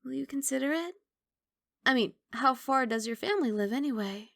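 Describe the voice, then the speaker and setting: A woman voicing a kindly young giant dragon girl in an ASMR roleplay, speaking in a soft, coaxing, reassuring and slightly bashful way. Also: high-pitched, animated, a little fast, creaky, no room sound, studio quality, exaggerated voice. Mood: shy, hopeful.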